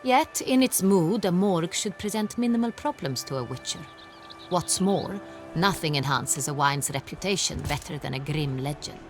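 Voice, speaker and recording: A low female voice plays an imperious game duchess, regal and formal. She speaks as a ruler used to obedience, haughty and easily indignant. Faint music plays underneath. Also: expressive, moderate pace. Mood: dry annoyance. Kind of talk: video game character acting.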